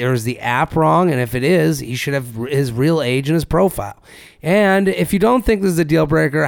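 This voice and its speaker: Male podcast co-host, raspy and lively, swears casually, dry studio sound. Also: fairly high pitch, dry recording. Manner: animated, swears casually, reads listener emails aloud. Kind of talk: casual chat.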